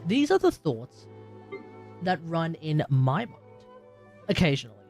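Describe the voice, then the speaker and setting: A young adult Australian game streamer, rambling casually while playing, musing aloud and chatting to viewers with sudden loud outbursts. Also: medium pitch, very animated, a little slow, faint music behind.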